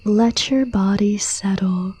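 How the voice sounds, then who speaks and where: A soft-spoken woman guides a sleep meditation in a very breathy whisper. Her tone is mellow and soothing, and she moves extremely slowly, leaving long pauses between short phrases. The sound is close and dry. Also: medium pitch, no echo.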